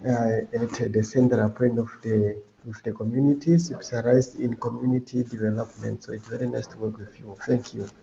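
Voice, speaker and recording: Very dark-voiced man from Namibia, noisy call. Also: medium pitch, flat delivery, normal speed, vocal fry, few pauses. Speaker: community development worker, training participant. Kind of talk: formal self-introduction. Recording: dull, noisy online call.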